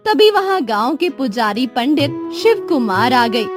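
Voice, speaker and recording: A woman tells a scripted moral story. Her voice is high and her delivery is slow. Soft music runs in the background. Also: sing-song. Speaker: storyteller narrator.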